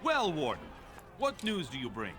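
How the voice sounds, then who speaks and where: An actor playing a proud, impatient dwarf prince in a video game, commanding and declamatory. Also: male voice, a little high, huge pitch swings, moderate pace, a crowd in the background. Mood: haughty, satisfied. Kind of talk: video game character acting.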